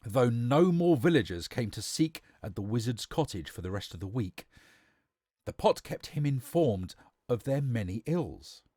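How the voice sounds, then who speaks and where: A man's voice: medium pitch, expressive, measured pace, mellow, slightly rough, no room sound, clean studio sound. A man reading a storybook aloud as a theatrical, emphatic narrator.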